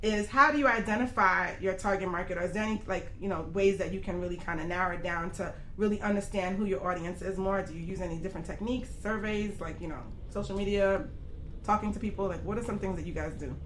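A woman hosting a casual interview show, effusive and quick to affirm her guests. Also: mid-range voice, fairly bright tone, a little hiss or hum. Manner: effusive, frequent exclamations and affirmations. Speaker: talk show host; marketing coach.

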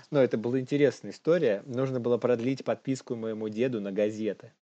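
A man's voice: medium pitch, brisk, mellow, dull audio. A young man co-hosting a casual news chat in an informal, slangy manner, often chuckling as he talks. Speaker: podcast co-host.

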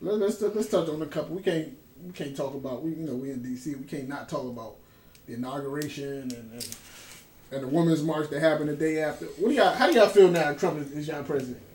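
Quick-talking man hosting casual banter. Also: fairly high pitch, darkish tone. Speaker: podcast host steering topics. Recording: echoey room with faint noise.

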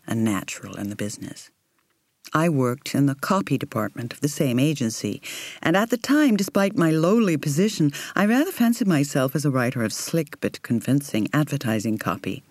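A woman reading an audiobook in the role of a reflective woman in her thirties recalling her romance, in a measured, intimate, expressive way. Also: extremely low pitch, no room sound. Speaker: audiobook reader. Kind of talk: scripted first-person narration.

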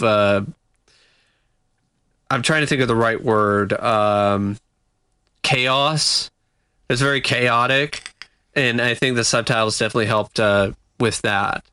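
A man gives his critical take on a film in relaxed podcast conversation, weighing his points and pausing to find the right word. His voice is bright and clear, recorded close and dry with no room sound. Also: medium pitch, no room sound. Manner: measured, critical, searching for words. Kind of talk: casual film review chat.

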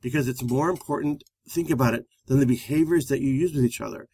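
A man with a loud voice who talks fast, co-hosting a live-streamed relationship talk show. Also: mid-range voice, husky, no echo. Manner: fast-talking, energetic.

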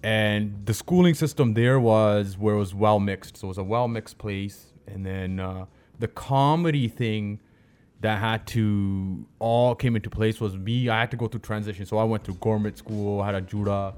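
A man's voice: on the low side, normal speed, a little mellow, clean tone. A man with a Canadian accent telling personal stories in casual, slangy conversation as an interview guest. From Brampton, Canada. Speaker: comedian and chef, interview guest. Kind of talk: casual chat, personal storytelling.

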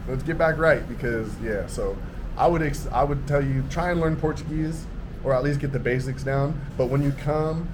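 Man, casual podcast chat, slangy, middling pitch, steady background hum. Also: moderate pace. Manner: laid-back, slangy, repeats "like" often. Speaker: podcast guest. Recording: noticeable background ambience noise.

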